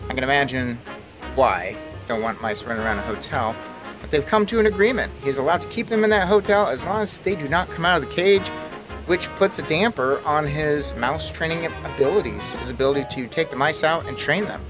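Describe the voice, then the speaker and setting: A man's voice: fairly high pitch, fairly quick, poor audio. A man hosting a read-aloud series, giving a conversational introduction to an episode. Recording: music behind the voice.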